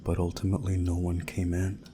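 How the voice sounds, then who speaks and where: A man reading a visual novel story aloud in a measured, dramatic storytelling way, hushed at times. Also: low-pitched, completely flat delivery, noisy background. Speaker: video game playthrough narrator.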